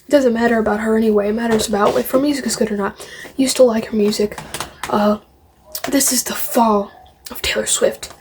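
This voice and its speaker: A woman's voice: fairly high pitch, steady tone, a little fast, darkish tone, slight background noise. A woman vlogging casually to camera, showing off a collection in a rambling, opinionated way and repeating phrases. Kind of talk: casual show-and-tell to camera.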